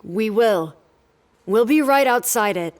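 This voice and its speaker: A woman's voice: on the high side, expressive, slow. A woman playing a stern, decisive leader of a sci-fi crew in an audio drama, speaking firmly and directly. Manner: firm, commanding, direct.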